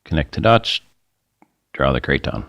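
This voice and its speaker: A middle-aged man hosting a rambling, folksy screen-share talk, his voice getting raspy and dry. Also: very deep voice, big pitch swings, a little slow, a bit bright, no echo. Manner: rambling, folksy, self-interrupting. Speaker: video host.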